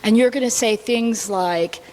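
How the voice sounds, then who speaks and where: A woman's voice: fairly high pitch, unhurried, a little mellow. A woman casually giving instructions to an all-ages audience, coaxing them and demonstrating how to respond. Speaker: storybook fairy helper leading audience responses. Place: live gathering before an audience.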